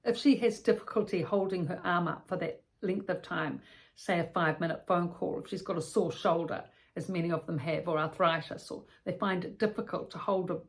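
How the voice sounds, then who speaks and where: A woman's voice: lowish voice, fairly quick. A woman giving an instructional talk as a care educator, explaining step by step with practical examples and repeated points.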